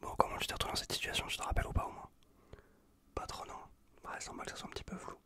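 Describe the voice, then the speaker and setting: A man with a French accent from France whispers a casual, reassuring ASMR roleplay as a caring survivor tending a wounded stranger, breathy and close to the microphone. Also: racing, bright, forceful tone. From Bordeaux region, France. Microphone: close Blue Yeti microphone.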